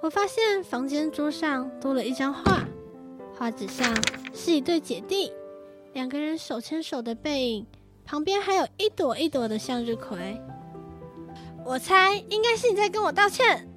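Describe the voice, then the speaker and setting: A woman's voice: high-pitched, animated, moderate pace, over music. A teenage girl acting a teasing, dramatic grown-up older sister in a radio drama. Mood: mock indignant. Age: teens.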